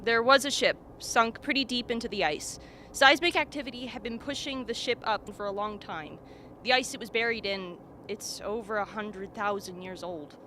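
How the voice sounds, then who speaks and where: Woman acting bright-voiced, matter-of-fact expedition scientist. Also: mid-range voice, moderate pace, lightly acted. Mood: wry, matter-of-fact. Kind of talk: radio drama acting. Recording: faint background noise.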